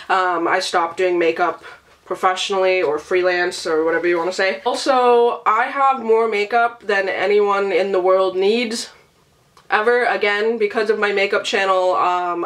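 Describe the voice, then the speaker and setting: A woman's voice: mid-range voice, fairly bright tone. Sick, nasally woman rambling casually. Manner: chatty, casual, swears freely. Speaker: beauty and book vlogger. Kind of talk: casual rambling vlog chat.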